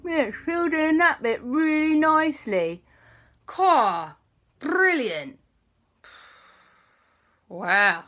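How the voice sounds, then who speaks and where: A woman voicing a delighted uncle, gleeful and loud. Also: very high-pitched, big pitch swings, drawn-out words, long pauses, voice pushed up, full character voice. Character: uncle delighted with his new beard.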